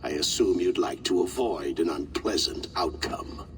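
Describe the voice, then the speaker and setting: An actor playing a smug, menacing game villain who speaks with measured false courtesy and deliberate pauses. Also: male voice, sits low, distant mic, a little hiss or hum, lightly acted. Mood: smooth menace. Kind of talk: dramatic video game acting.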